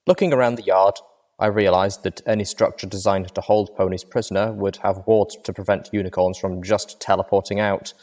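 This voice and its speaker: A man narrating a fan fiction story in first person at a measured, steady pace. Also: low voice. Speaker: audiobook reader.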